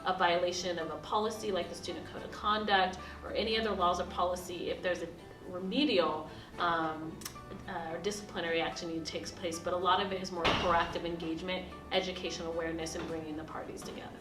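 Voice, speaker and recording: A woman who is a university diversity officer, explaining in a measured documentary interview. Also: lowish voice, fairly even intonation, reverberant, distant mic, music in the background.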